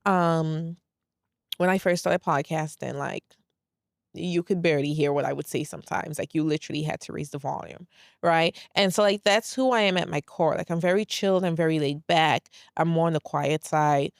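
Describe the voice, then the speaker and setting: A woman's voice: sits low, moderate pace, vocal fry, dry recording, clean studio sound. A woman in her thirties from Saint Lucia and Brooklyn, speaking with a Brooklyn accent with a light Saint Lucian trace, hosting a casual solo podcast in a candid, laid-back way with plenty of "like" and some swearing. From Saint Lucia, raised in Brooklyn, New York. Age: 30s. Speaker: podcast host.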